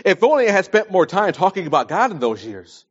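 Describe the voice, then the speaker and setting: A man's voice: high voice, very animated, fairly quick, slightly rough. A man in his early forties preaching a sermon, speaking emphatically and repeating phrases to stress them. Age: early 40s. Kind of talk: sermon with scripture readings and plain advice. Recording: dull lo-fi recording.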